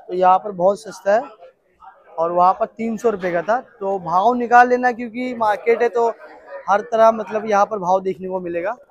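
A man with a high voice and a Mumbai Hindi accent gives casual vlog commentary. He talks informally to viewers and repeats himself often. The phone recording sounds a little dull. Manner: repetitive, informal, addressing viewers as brother. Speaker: vlogger touring a wholesale fruit market. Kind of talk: casual vlog commentary, asking vendors prices. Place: large covered wholesale fruit market. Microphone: handheld phone.

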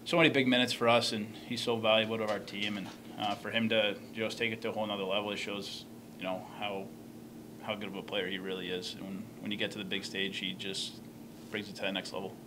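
A young man in his twenties answering postgame questions at a press conference table microphone, quick and matter-of-fact with many fillers. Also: fairly low pitch, level tone, noisy background.

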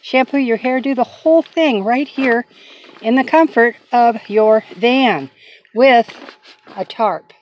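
A woman's voice: on the high side, slow, highs cut off, slight background noise. An older woman vlogging from inside her cargo van, chatting folksy and casual as she demonstrates something, often apologizing and asking for patience. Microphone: handheld phone camera.

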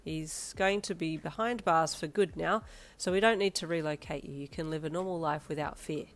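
A woman voicing a kind male police officer who speaks slowly and reassuringly. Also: low voice, level tone.

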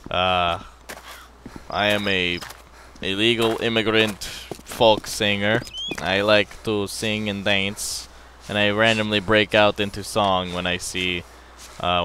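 A man's voice: lowish voice, noisy background. A young man casually commentating on a zombie survival game with a friend, rambling and crude-joking, recorded on a headset mic. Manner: rambling, crude joking, breaks into excited bursts. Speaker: gameplay channel host.

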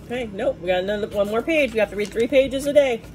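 A woman's voice: on the high side, fast talker, darkish tone. A middle-aged woman coaching a child through reading with short, firm, directive prompts and corrections, recorded casually at home. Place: wood-paneled room at home. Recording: faint background noise, casual home recording.

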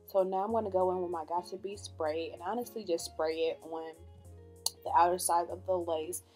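A woman in her twenties with a dry, deadpan voice, chatting casually through a hair tutorial as a beauty vlogger. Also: lowish voice, moderate pace. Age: 20s. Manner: monotone, deadpan delivery. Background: background music.

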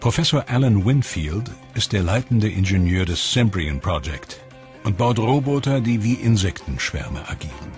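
A man narrating a documentary from a script in a measured way, slowing down and dropping his voice on the ominous lines. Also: fairly low pitch, animated. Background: documentary music score.

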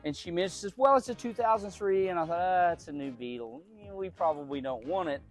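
A middle-aged man from Oklahoma talks through his car collection in a relaxed, folksy way. He often repeats a word while he gathers his thoughts, and his voice sits a bit high for a man. Faint music plays under the recording of his garage tour. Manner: folksy, unhurried, repeats words while thinking. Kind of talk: casual show-and-tell. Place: large garage.

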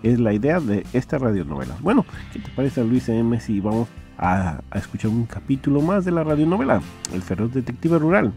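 A male podcast host chats casually in a mellow voice. His pitch moves around a lot as he greets listeners, with soft music underneath. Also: very animated, a little fast, creaky. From lives in California. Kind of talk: casual chat, reading listener comments.

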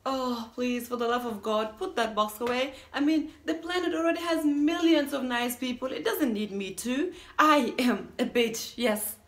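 A woman performs a mean young woman fending off a proposal in a theatrical monologue. Her voice is fairly high and creaky, with dramatic, pleading emphasis. The room is clearly echoey. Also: takes it slowly, pauses often. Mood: exasperated begging. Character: self-declared mean young woman, panicked by a marriage proposal.

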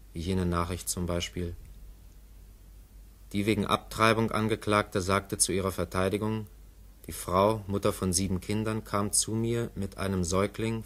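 A man reading ironic, drowsy poetic interludes in a 1950s radio play. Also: sits low. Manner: soft, ironic, drowsily complacent. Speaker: reader of interlude texts.

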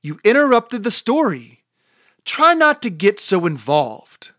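A woman voicing a patient, tired father rooster in a storybook, measured and gently chiding. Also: mid-range voice, very animated, dry recording, clean studio sound. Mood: mildly exasperated.

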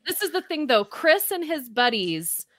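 A woman's voice: high voice, big pitch swings, normal speed, a bit bright, no echo, clean studio sound. A woman in her thirties hosting a podcast interview over a video call, chatty and upbeat. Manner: chatty, upbeat, laughs often. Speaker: podcast host. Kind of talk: casual interview chat. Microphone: headset on a video call.

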